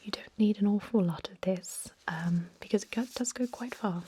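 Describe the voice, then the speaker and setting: A woman whispering an ASMR roleplay as a gentle, reassuring vampire doctor, with hesitant, soothing bedside patter close to the microphone. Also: mid-range voice, normal speed, a little hiss or hum. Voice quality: breathy whisper.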